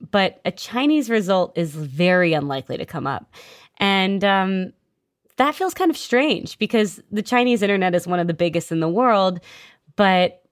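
A woman host narrating a scripted story and interviewing a guest, with a measured explanatory delivery. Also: mid-range voice, no echo, clean studio sound.

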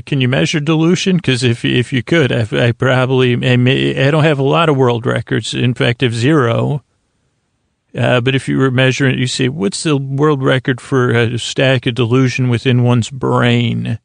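A male podcast host with a gravelly, creaky edge, recorded close and dry. He delivers a meandering bedtime monologue, halting and doubling back on his phrases in a self-deprecating way. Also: mid-range voice, fairly even intonation, no echo. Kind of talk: rambling bedtime monologue, meant to lull.

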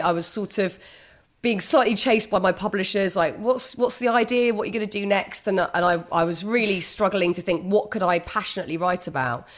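A middle-aged woman with a slightly husky voice, speaking as a guest in an interview. She talks earnestly and openly, restarting phrases as she thinks aloud, at a middling pitch. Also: a bit bright. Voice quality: a little husky at times. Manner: candid, earnest, with frequent restarts and quoted inner thoughts. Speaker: guest author and broadcaster. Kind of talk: conversational interview.

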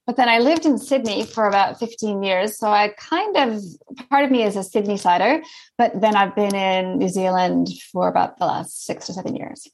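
Middle-aged Canadian woman, podcast guest expert, measured explainer. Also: medium pitch, low-quality recording. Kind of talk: podcast interview.

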